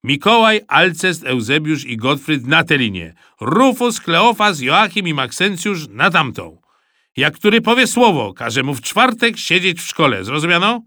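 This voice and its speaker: A man's voice: on the high side, sing-song, a little slow, sharp tone, dry recording, clean studio sound, pitched above the performer's own voice, heavily acted. A man voicing a stern, exasperated school monitor who barks orders in an audiobook of a children's story. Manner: barking, exclamatory orders. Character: stern, exasperated male school monitor, red-faced and shouting.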